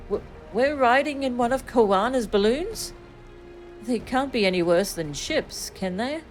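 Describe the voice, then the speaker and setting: A woman voicing an earnest, expressive young princess from a video game. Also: on the high side, measured pace, faint music behind, pitched above the performer's own voice. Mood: anxious, surprised. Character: earnest, excitable young princess afraid of flying. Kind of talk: video game dialogue read aloud.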